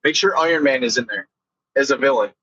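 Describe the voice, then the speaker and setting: Muffled lo-fi voice chat; male, raspy, unhurried, crude and jokey in casual talk. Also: mid-range voice, a bit bright. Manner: crude, joking. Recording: dull lo-fi voice chat.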